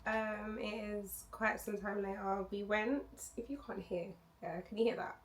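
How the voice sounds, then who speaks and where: A young woman vlogger, hoarse from losing her voice, chatting to camera in a rambling, candid way. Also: medium pitch, fairly even intonation, moderate pace, slight background noise. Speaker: travel vlogger. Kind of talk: casual chat to camera.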